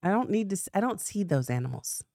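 A woman's voice: mid-range voice, big pitch swings, fast talker, creaky, warm tone, dry recording, clean studio sound. An American woman co-hosting a podcast in casual banter, speaking frankly and with strong opinions, with the odd swear word. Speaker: podcast co-host.

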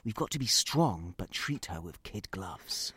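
Man playing exasperated father, radio play, bright edgy voice, very animated, short-tempered, tough negotiator, dry close sound. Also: low-pitched, unhurried, husky, no room sound, full character voice. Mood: conspiratorial. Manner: hushed mutters flaring into loud outbursts. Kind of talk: audio drama acting.

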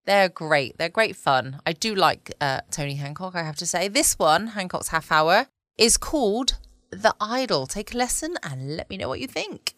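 Lowish-voiced southern English woman, dry close sound. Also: big pitch swings, normal speed, fairly bright tone, no echo. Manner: chatty, cosy, informal. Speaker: podcast host. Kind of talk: casual bedtime podcast chat. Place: at home, indoors.

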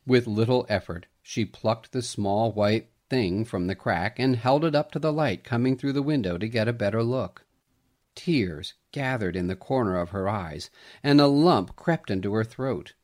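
Slow, expressive male storyteller. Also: mid-range voice. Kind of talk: scripted family story narration.

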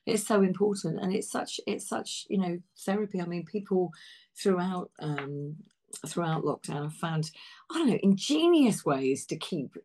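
A woman with an English accent and a low voice talks casually as a guest. She is effusive and leans on emphatic words. Her sentences often break off and pick up again as she searches for the thought. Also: animated, moderate pace, mellow. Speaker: interview guest, theatre practitioner. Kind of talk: casual chat.

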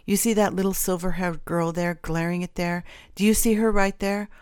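A woman's voice: fairly low pitch, fairly even intonation, moderate pace, a little mellow, clean tone, no room sound, clean studio sound, natural voice. Woman acting a stern, demanding male interrogator. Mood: angry insistence. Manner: pressing, commanding questioning.

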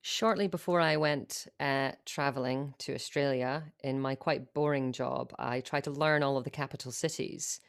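A woman co-hosts a relaxed show with a notably low voice. She links segments briskly and pokes fun at herself, keeping things light. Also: gravelly, smooth. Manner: self-mocking, brisk links between segments. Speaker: co-host. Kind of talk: casual chat.

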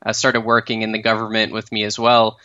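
A Canadian man in his thirties tells his founder story as a podcast guest, with a bright, smooth, rather flat-pitched voice. He talks in a loose, casual way full of fillers and restarts. The sound is dull and lo-fi, as if from a remote call. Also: fairly quick. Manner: relaxed, lots of fillers and self-restarts.

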